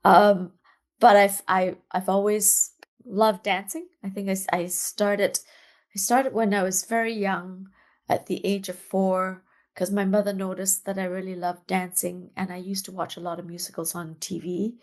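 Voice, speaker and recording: A middle-aged woman from Singapore speaks as a guest over a remote call, in an easy, conversational way. She laughs often and restarts her sentences as she talks. Her pitch sits at an ordinary middle height for a woman. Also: flat delivery, moderate pace, a little mellow. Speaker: guest, dance artist. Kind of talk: casual interview chat.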